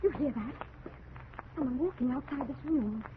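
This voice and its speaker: A woman's voice: high voice, moderate pace, natural voice. A woman playing an anxious, pleading plantation resident in an urgent 1940s radio melodrama, on a tinny, noisy old recording. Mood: frightened, pleading. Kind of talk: 1940s radio drama acting.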